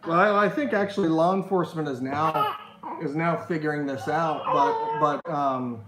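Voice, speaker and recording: A man's voice: a little high, normal speed. A man co-hosting a live show, talking in a rambling, hesitant way with many fillers. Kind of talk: casual live stream chat. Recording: echoey room, faint noise.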